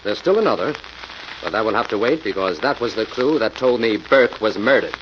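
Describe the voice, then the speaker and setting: A man playing a calm, authoritative detective in 1940s radio mystery style, with a brisk, measured, commanding delivery. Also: fairly low pitch, natural voice. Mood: intent, deducing. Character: calm, authoritative male detective solving a murder. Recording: muffled, noisy vintage radio recording.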